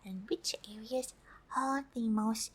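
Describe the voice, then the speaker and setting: A woman's voice: fairly high pitch, slow, breathy edge. A woman voicing a friendly, polite alien who is curious about humans, speaking hesitantly in an ASMR roleplay. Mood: gentle, explaining. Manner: hesitant, speaks of self in third person.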